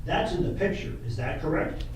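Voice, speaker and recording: A man's voice: a little high, expressive, brisk, close to an everyday voice. A man playing an insistent, pedantic attorney who repeats questions in a puppet courtroom cross-examination. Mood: pressing, insistent. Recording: echoey room, distant mic, faint noise.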